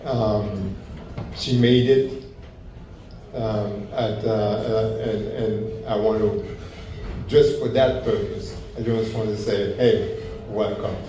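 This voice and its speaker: Guy moderating a panel, kinda halting, soft dark tone, really echoey room. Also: lowish voice, fairly even intonation. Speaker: forum moderator. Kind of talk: introducing a panelist to an audience. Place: large echoey indoor event room. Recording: echoey room from far off, faint noise.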